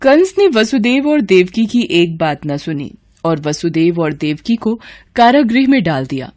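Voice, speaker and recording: A woman's voice: fairly low pitch, big pitch swings, a little slow, darkish tone, no echo, clean studio sound. A woman narrator reading a scripted devotional story.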